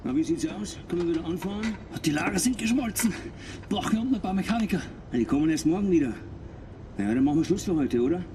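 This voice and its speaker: German guard, high voice, fast, clipped, game scene, noisy ambience. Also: male voice, animated. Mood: casual. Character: brisk male German camp guard. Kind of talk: video game background dialogue.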